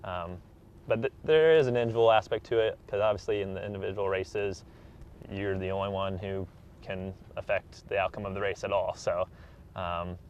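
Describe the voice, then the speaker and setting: A man's voice: low voice, fairly even intonation, moderate pace, slight background noise. A young American man, a college athlete, answering interview questions in a casual, plain-spoken, earnest way. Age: young adult.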